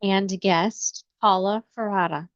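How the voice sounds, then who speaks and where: A woman host reading a podcast introduction in a slow announcing manner. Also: mid-range voice, fairly even intonation, dry recording. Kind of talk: scripted intro. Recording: dry lo-fi audio.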